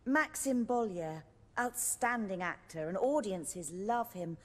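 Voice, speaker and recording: A seasoned, refined stage director from a fantasy game. She sounds husky at a medium pitch. Her phrasing is measured, articulate and a little theatrical, carried on long reverb. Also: lively intonation. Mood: businesslike. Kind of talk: video game dialogue acting.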